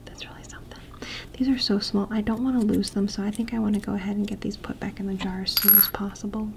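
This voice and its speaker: A woman doing a soft-spoken ASMR roleplay, mostly whispering and talking gently. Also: mid-range voice, flat delivery, fast, vocal fry, noisy background. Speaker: ASMR creator. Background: tapping and clicking sounds.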